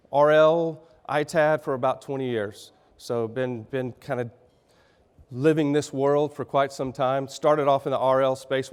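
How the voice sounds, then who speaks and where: A middle-aged man with a Texan accent, slightly raspy from a sinus infection, giving a folksy conference keynote. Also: mid-range voice. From Texas. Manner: folksy, conversational, with frequent fillers and restarts. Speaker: keynote speaker. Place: large conference hall. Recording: reverberant hall sound.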